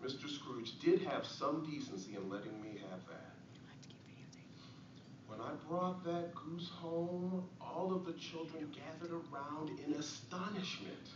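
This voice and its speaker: A man playing a poor, humble Victorian father and clerk, reading his diary aloud in an earnest, theatrical way with deliberate pauses. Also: a little high, natural voice. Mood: warm, wistful. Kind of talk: dramatic diary-style reading of an essay. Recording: echoey, noisy, muffled recording from far off.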